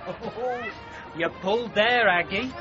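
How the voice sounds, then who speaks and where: A cheeky English assistant with a wry, teasing manner. He speaks slowly in a fairly high voice. Loud music sits behind the dull radio drama recording. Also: a crowd in the background. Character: cheeky, waspish London PR assistant.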